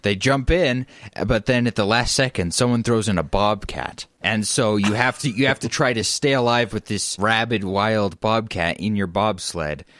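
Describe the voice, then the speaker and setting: A man's voice: on the low side, normal speed, a bit bright, a little hiss or hum. A man co-hosting a comedy radio show in casual comic banter.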